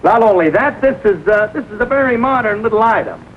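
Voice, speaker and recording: A slick traveling salesman from a 1940 comedy film. His pitch is high and leaps about as he pushes his wares glibly. The soundtrack is old, dull and hissy. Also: lively intonation, fairly quick. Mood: brisk, smug. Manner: slick sales patter with chuckles. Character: pushy, glib city traveling salesman of bath salts.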